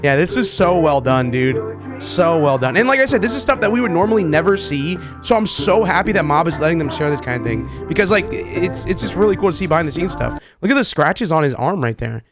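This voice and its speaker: A man hosting a reaction video, talking casually to viewers with excited, repeated exclamations. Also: a little high, fairly quick, over music. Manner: casual, repetitive exclamations, addresses viewers familiarly. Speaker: video host reacting to game clips.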